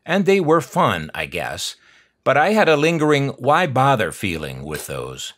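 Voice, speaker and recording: A man's voice: mid-range voice, huge pitch swings, unhurried, fairly bright tone. An older American man narrating an unboxing video with wry, dry humor and nostalgic asides. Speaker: host of a collector's unboxing channel.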